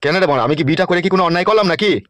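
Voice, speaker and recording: Cartoon politician, male actor, crude smooth talker, quick delivery, close dry sound, West Bengal Bengali accent. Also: high voice, fairly bright tone, dry recording. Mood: bossy, crude. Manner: crude, brash, smooth-talking. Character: scheming, lecherous, bossy male politician. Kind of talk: comedy cartoon voice acting.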